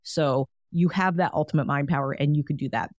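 A woman's voice: low-pitched, brisk, darkish tone, no room sound. A woman hosting a solo wellness podcast, talking in a chatty, encouraging way with frequent fillers. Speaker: podcast host.

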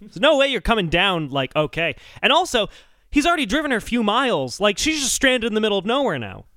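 A man's voice: high-pitched, fast talker, no echo, clean studio sound. A man co-hosting a comedic podcast in casual chat, joking sarcastically and swearing freely. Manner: sarcastic joking, animated, profane. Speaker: podcast co-host.

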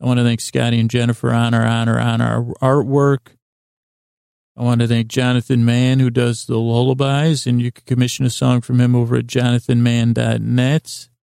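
Male bedtime podcast host: heavy vocal fry, very dry close sound, meandering, halting, whimsical delivery. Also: medium pitch, little pitch movement, a little mellow, dry recording. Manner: meandering, hesitant, halting asides; silly, whimsical, soothing intent. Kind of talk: rambling bedtime podcast monologue.

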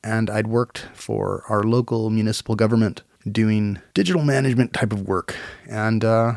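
Man in his forties, hoarse and nasal from a cold, low gravelly voice, rambling podcast chat, dry close sound. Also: moderate pace, a little mellow, no echo. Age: 40s. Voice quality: raspy, nasal. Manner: rambling, self-deprecating, confessional. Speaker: podcast host. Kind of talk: casual solo podcast monologue. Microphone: home recording mic.

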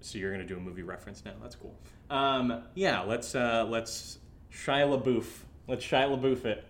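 A man acting in a horror audio drama as an excitable, sarcastic friend, with an animated, halting, rambling delivery. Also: medium pitch, lightly acted. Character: excitable, sarcastic, rattled male friend who drinks.

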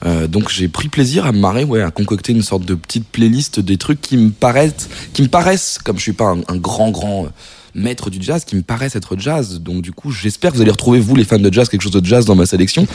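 A man's voice: lowish voice, expressive, a little fast, a little mellow, husky, no room sound. A man visiting a radio studio as a guest, chatting with enthusiasm and slang. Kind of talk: casual radio chat.

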